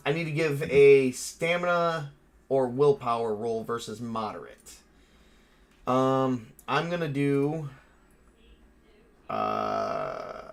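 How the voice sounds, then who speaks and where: A man's voice: medium pitch, creaky. Casual man running a tabletop game. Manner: casual, halting, swearing. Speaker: game master and player. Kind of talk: narrating a tabletop horror game, improvised.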